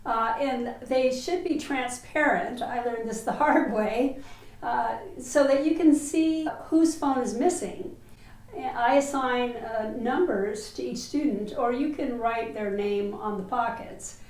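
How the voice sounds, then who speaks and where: Woman in her 50s, teacher with a cold, chatty and fairly high, lapel mic. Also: takes it slowly, darkish tone, poor audio. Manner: chatty, with fillers and restarts. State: has a cold. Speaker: language teacher. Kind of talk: online teacher workshop. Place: indoors, seated in an office chair before a plain wall.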